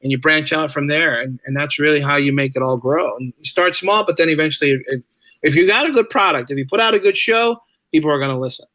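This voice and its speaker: A man's voice: a little high, quick pace, pushed and bright, no room sound. A man chatting casually as a podcast guest, talkative and informal, often repeating phrases for emphasis. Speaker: podcast guest, writer and musician. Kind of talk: casual conversational interview.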